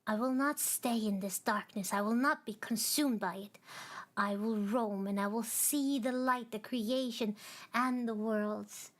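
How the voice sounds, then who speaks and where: A woman voicing a small, lonely, headstrong star who talks in quick, pushy bursts and whispers. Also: fairly high pitch, fairly bright tone. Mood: defiant.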